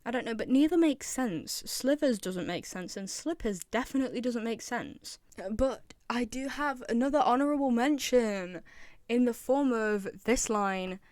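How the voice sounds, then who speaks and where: A woman's voice: a little high, normal speed, darkish tone, no echo, clean studio sound. A woman presenting casual fan commentary in an enthusiastic way, repeating phrases for emphasis. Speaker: video presenter.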